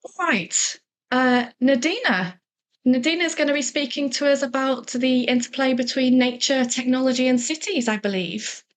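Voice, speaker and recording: A woman's voice: high-pitched, normal speed. A woman moderating a webinar over a video call, speaking politely with hesitations and fillers. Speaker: webinar host.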